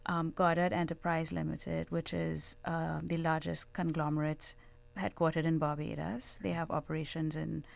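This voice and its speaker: Low, creaky Trinidadian woman. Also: little pitch movement, moderate pace. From Trinidad and Tobago. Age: middle-aged. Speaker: guest economic analyst. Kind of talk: conversational radio interview. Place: radio studio.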